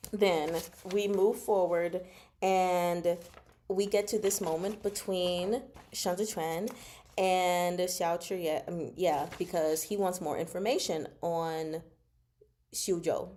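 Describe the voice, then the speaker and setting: Woman, slow pace, animated, giggly, casual fan discussion, breaks off her own sentences. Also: fairly low pitch. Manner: animated, giggly, self-interrupting. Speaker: host of a book discussion show. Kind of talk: casual fan discussion, reading from notes and comments.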